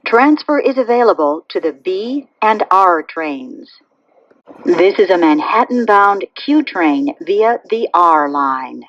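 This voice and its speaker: A woman reading scripted automated train announcements in measured, segmented phrases. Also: lowish voice, very animated, highs cut off.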